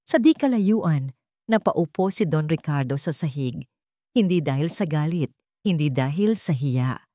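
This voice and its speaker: A woman's voice: sits low, animated, unhurried, mellow, no room sound, clean studio sound. A woman with a Filipino accent reading a scripted dramatic story as its narrator.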